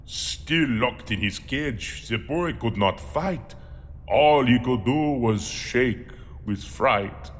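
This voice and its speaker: A monstrous storyteller, played by a man, recites a grim rhymed memoir with theatrical deliberation. The pace is slow, the pitch swoops expressively, and the voice hangs in a long hall reverb. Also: lively intonation. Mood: sorrowful, brooding. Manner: dramatic, deliberate. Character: monstrous male creature reciting his grim rhyming memoir.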